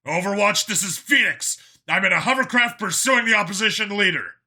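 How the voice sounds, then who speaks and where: Casting: determined sci-fi soldier played by a male narrator, forceful and bright, giving urgent orders. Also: high-pitched, animated, a little fast, creaky, voice pushed up, exaggerated voice. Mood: commanding. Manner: forceful, commanding. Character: Vincent, determined young male soldier clone, gruff and urgent. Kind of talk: audiobook character voice in science fiction story.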